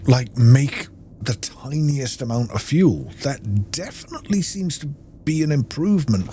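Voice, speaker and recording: A man's voice: mid-range voice, mellow, a little hiss or hum. A man giving casual gameplay commentary, musing and thinking aloud to himself. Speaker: gamer, let's play host.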